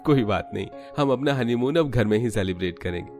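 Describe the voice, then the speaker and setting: A man voicing an affectionate, playful young husband in an animated family drama, speaking tenderly and teasingly. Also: mid-range voice, a little fast, soft background music, close to an everyday voice. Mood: romantic, teasing.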